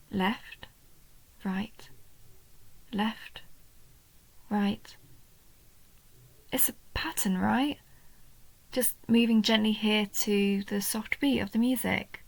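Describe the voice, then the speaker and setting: Woman playing a slow, bashful young goblin girl. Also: medium pitch, no room sound, heavily acted, low-quality recording. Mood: soft, concentrating. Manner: hesitant, bashful, gentle, breathy half-whispers. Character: shy, sweet, nerdy young goblin girl on a date. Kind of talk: roleplay audio, soft intimate story acting.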